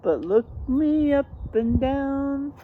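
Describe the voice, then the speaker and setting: A woman's voice: high-pitched, animated, slow, phone-quality sound, slight background noise, pitched above the performer's own voice. A woman voicing a cheerful, kind and proud male giant from a storybook, with exclamatory, sing-song delivery.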